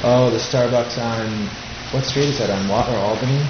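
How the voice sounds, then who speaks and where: Very deep-voiced woman prompting questions. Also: normal speed. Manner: encouraging, asks short follow-up questions. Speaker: adult guiding a youth interview. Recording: echoey, dull, very noisy room.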